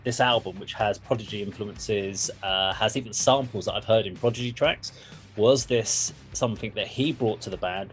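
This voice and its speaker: A man hosting a casual music discussion, explaining things in an informal, sweary way. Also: fairly low pitch. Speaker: music reaction show host.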